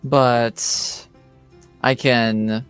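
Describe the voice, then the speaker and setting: Slow, measured fantasy lord acted by a man. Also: medium pitch, level tone, a bit bright, smooth, soft background music. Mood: guarded, measured. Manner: formal, measured, deliberate.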